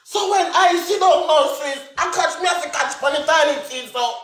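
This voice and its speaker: A young man with a Jamaican accent chatting and telling stories in an excitable, exclamatory way on a livestream. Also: very high-pitched, normal speed. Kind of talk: casual comic storytelling on a livestream. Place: indoors in a small bare-walled room. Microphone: phone close in front, split-screen live call. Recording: echoey bare room.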